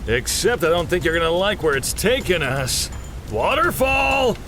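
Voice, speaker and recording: An actor playing a brash, battle-hardened army sergeant in an audio drama, swaggering and punchy. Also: male voice, high voice, big pitch swings, moderate pace, fairly bright tone, soft background music, heavily acted. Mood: urgent.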